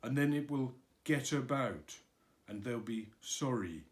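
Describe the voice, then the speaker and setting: A man's voice: mid-range voice, animated, a little slow, lightly acted. A man voicing a gloomy, grumbling male donkey in a storybook reading, sighing and self-pitying.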